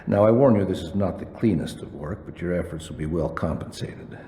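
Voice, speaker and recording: Actor as deep, dark-voiced formal crime boss. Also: male voice, lightly acted. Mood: calm, calculating. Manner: formal, measured, precise wording. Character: cold, menacing, articulate crime boss man hiring a killer. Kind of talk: video game cutscene dialogue acting. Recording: echoey reverberant space with background noise.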